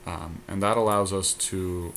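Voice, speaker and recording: A man with a fairly low voice gives casual commentary on a card game draft. He thinks out loud as he weighs each choice, with noticeable noise behind him. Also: fairly even intonation, a little slow, gravelly. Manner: thinks aloud, deliberating over choices. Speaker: podcast co-host.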